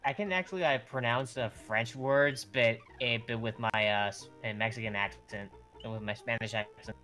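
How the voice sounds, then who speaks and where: A man's voice: medium pitch, fairly even intonation, normal speed, pushed and bright, faint music behind. A man joking and chatting with friends on a game stream, hesitant and full of fillers. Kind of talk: casual chat over a game stream.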